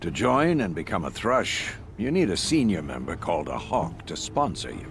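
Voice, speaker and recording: An actor playing a courteous veteran hunter in a video game who greets newcomers in a formal, explanatory manner. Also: male voice, low-pitched, very animated, normal speed, vocal fry, faint music behind, heavily acted. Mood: politely explaining.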